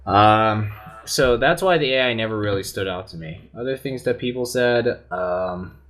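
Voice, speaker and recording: A man's voice: on the low side, moderate pace, a bit bright. A man on a gaming podcast panel, talking casually and earnestly, rambling with some restarts. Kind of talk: casual opinionated chat.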